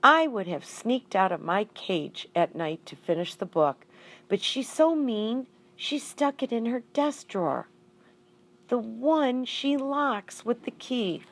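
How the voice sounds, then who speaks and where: A woman voicing a small, excitable, indignant male classroom pet in a squeaky storybook voice. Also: takes it slowly, exaggerated voice.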